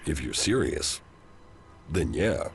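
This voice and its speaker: An actor playing a grim, emotionally detached former commander in a video game, speaking in a terse deadpan with a gravelly voice. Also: male voice, rumbling low, slow, exaggerated voice. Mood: flat. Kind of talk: video game cutscene acting. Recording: echoey reverb, music behind.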